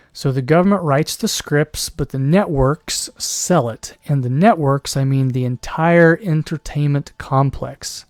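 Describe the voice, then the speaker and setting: A man talking alone on his podcast with a hoarse, worn voice, sometimes clearing his throat. He rambles and restarts his sentences, now and then slipping into a mocking put-on voice. The sound is dry and close, with no room around it. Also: mid-range voice, darkish tone, no room sound. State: losing his voice. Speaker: podcast host. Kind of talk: solo commentary podcast.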